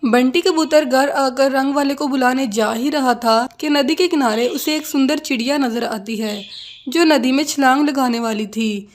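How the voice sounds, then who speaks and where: High-pitched woman storyteller. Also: normal speed. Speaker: narrator. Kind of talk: scripted children's cartoon story narration.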